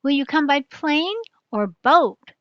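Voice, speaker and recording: A woman's voice: high voice, lively intonation, slow pace, no room sound. A grandmother playing a worried storybook king. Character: worried fussy male king, storybook ruler. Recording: dull lo-fi dry recording.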